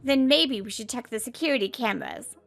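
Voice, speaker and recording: A woman's voice: a little high, brisk, vocal fry, a bit bright, faint music behind. A woman voicing a poised, protective professional woman in a comic dub, speaking with composed assertiveness. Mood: stern.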